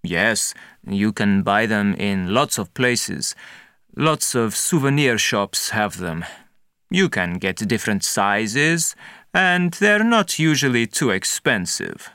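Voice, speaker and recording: A man's voice: lowish voice, expressive, takes it slowly, sharp tone, smooth, no room sound, studio quality. A man from Egypt talking with a partner in a paired speaking-task discussion.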